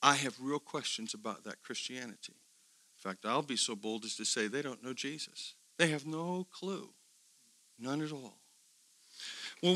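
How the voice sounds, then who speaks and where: A middle-aged man teaching a seminar, animated, asking his listeners questions and swinging between quiet asides and loud emphasis. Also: a little high, dry recording. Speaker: theology teacher. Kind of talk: seminar lecture to a live group.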